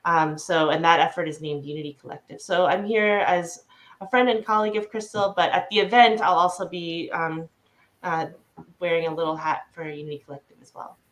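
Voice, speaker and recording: Low-voiced woman organizer, hesitant. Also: fairly even intonation, brisk. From Northern Virginia, United States. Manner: thoughtful, hesitant, many fillers. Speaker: community organizer. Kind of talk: live online interview introduction.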